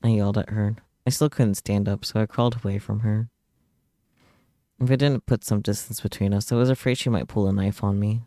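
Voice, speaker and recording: Dry, mellow-toned streamer reading aloud. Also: male voice, fairly low pitch, steady tone, brisk, no echo. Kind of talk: dramatic read-aloud narration and translated dialogue.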